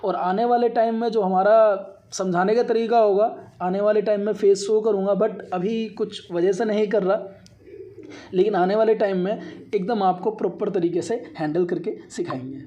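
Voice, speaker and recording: A man's voice: high voice, steady tone, moderate pace, warm tone, muffled recording. A man teaching as an instructor, going through a slide lecture point by point and repeating himself as he explains. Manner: repetitive, reads points out then re-explains them. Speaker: radiography instructor.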